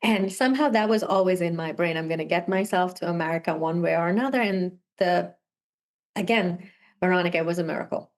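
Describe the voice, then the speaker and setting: A woman's voice: on the low side, fairly quick, a little mellow. A young woman from Sri Lanka, a guest talking over a video call, telling her story earnestly and laughing often. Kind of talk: casual interview conversation.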